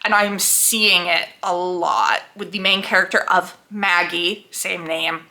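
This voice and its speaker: A young woman talking casually to camera about what she is reading, chatty and quick to laugh, acting out her own reactions. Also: on the low side, steady tone, sharp tone. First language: English. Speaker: book vlogger.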